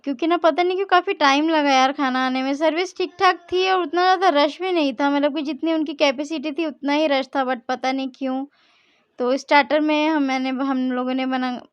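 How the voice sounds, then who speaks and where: A woman's voice: high voice, clean tone, dry recording, muffled recording. A young woman, chatting casually through her vlog and often correcting herself mid-sentence. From India. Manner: chatty, rambling, frequent self-corrections. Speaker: vlogger.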